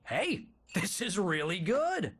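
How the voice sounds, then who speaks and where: Lively, chatty sidekick. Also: male voice, sits high, slow, heavy background noise, exaggerated voice. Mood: casual. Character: chatty, loyal male best-friend sidekick.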